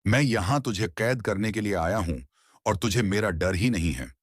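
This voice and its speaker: A man's voice: on the low side, animated, moderate pace, no echo, studio quality. A man playing a self-assured, solemn village tantric in a dramatized horror story. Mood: stern. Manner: solemn, declarative.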